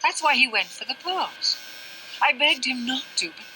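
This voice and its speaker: An ailing older mother in a video game, sharp-tongued yet weary. The voice is fairly high and very bright and tense, with haughty, theatrical, genteel phrasing. There is faint noise and ambience behind it. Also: lively intonation, normal speed, exaggerated voice, poor audio. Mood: regretful. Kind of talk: dramatic scripted game dialogue.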